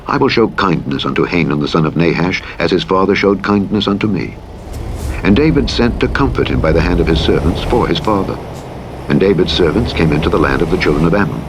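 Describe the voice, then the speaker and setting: A man reads scripture solemnly as a narrator, in a measured, formal delivery. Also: low voice, rough recording. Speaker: Bible audiobook narrator. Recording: clear engine and vehicle noise. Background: truck simulator game driving sounds.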